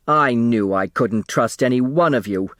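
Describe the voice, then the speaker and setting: A man voicing a shrill, self-pitying woman secretary in an audiobook, given to indignant, theatrical outbursts. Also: measured pace, a bit bright, no room sound, clean studio sound, natural voice. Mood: wounded, defiant.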